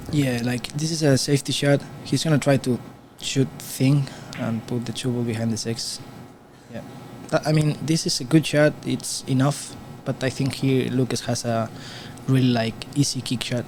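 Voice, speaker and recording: Spanish-accented man with a mellow voice. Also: mid-range voice, fairly even intonation, slight background noise. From Spain. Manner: halting non-native English with restarts. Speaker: guest commentator, pool player. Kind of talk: casual live commentary.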